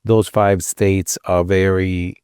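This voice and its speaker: A man in his sixties, raised in Israel and then the American Midwest, answering interview questions in a measured, polite, modest way. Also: low-pitched, flat delivery, a little mellow, clean tone, no room sound, clean studio sound. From Israel, raised in Minneapolis from age twelve. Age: 60s. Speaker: architect guest. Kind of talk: interview conversation.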